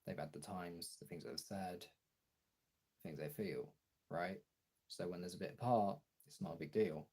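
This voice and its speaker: A man chatting casually as a streamer, thinking aloud haltingly as he searches for words and trails off. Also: low-pitched, a little mellow, slightly rough.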